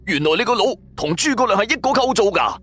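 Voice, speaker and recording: A man as an excitable sidekick, male, fawning, gushing exclamations, raised pitch, swooping intonation, audio drama. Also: fast. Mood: gushing admiration. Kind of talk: Cantonese audio drama acting.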